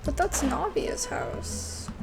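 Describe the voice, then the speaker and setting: A woman's voice: high-pitched, wildly swooping pitch, slow pace, vocal fry. A woman giving casual gameplay commentary in an informal, slangy way, as a gaming creator. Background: game music.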